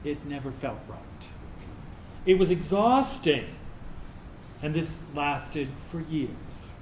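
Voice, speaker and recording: Anguished transgender veteran, played by a man, higher male voice, slow measured monologue, roomy recording. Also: expressive, a little mellow. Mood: pained, earnest. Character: anguished transgender veteran recounting years of hiding. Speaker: actor in a staged reading. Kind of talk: dramatic monologue reading. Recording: roomy, noisy live stage recording.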